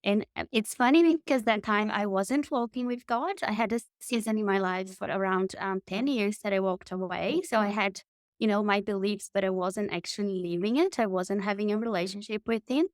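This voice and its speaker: A woman's voice: mid-range voice, moderate pace, dry recording, studio quality. A woman in her thirties from Brazil with a Brazilian Portuguese accent, a guest telling her story in an interview with enthusiasm and frequent restarts. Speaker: guest, marketing consultant.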